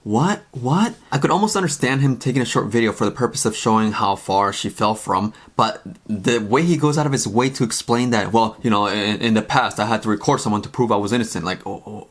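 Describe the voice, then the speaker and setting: A man's voice: fairly low pitch, a little fast, few pauses. A young man giving casual opinion commentary in an emphatic, incredulous and opinionated way. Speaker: commentary video host.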